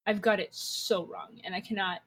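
A woman's voice: mid-range voice, steady tone, moderate pace, fairly bright tone, a little hiss or hum. A woman chatting casually as a player in a tabletop game, informal and self-critical, often repeating herself. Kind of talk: casual chat.

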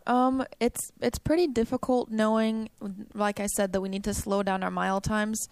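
A woman's voice: mid-range voice, fairly even intonation, moderate pace, darkish tone, clean tone, no room sound. A young woman, a college runner, answering interview questions in a chatty, upbeat way with many "um"s and "like"s. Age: young adult. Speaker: college cross country runner.

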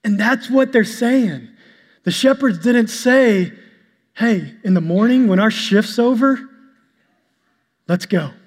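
A male pastor preaching a sermon to his congregation, with an even delivery he describes as monotonous. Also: high-pitched. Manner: calls himself monotonous and boring-voiced; preaching, shifts to a soft, slow prayer. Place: church sanctuary. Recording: long hall reverb with soft instrumental music.

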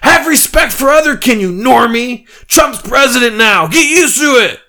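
A man voicing a violent mob of furries, shouting in a high, strained voice. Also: expressive, moderate pace, full character voice. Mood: shrieking angry. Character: shrieking mob of furries, male, violent and zealous.

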